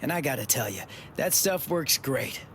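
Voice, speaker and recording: A man's voice: mid-range voice, animated, moderate pace, creaky, noisy background. An actor playing a cocky, stubborn teenage boy hero in a video game, blunt, casual and sarcastic. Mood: flippant, annoyed. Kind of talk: English video game voice acting.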